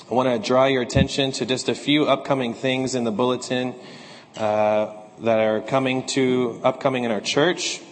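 Hoarse pastor in a reverberant sanctuary. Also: male voice, medium pitch. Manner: earnest, measured, with fillers in announcements. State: slightly hoarse. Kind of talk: scripture reading, prayer and church announcements. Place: church sanctuary.

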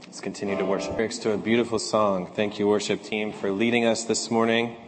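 A slightly hoarse man speaking as a pastor, reading scripture, praying and giving announcements in a measured, earnest way. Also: lowish voice, flat delivery, long reverb tail, a little hiss or hum. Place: church sanctuary.

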